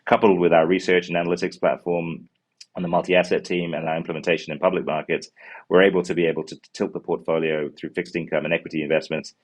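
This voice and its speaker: A man in his forties from New Zealand with a Kiwi accent, a fund co-manager pitching his fund over a video call from an office, full of ums and restarts. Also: level tone, quick pace. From born in Sri Lanka, raised in New Zealand. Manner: many ums and uhs, restarts words while explaining. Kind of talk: investment pitch answering questions. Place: office with glass walls, indoors. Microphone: earbuds on a video call.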